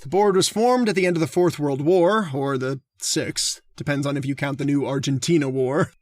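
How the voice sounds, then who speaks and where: An actor playing a mild, hesitant gentleman who hunts people for sport, in a radio drama. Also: a little high, moderate pace, dry recording, studio quality, close to an everyday voice. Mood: reciting, distracted. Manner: polite, hesitant.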